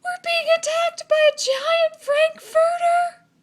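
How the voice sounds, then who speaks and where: A woman voicing panicked ornery cats in a children's story, with shrill, melodramatic wailing. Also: level tone, takes it slowly.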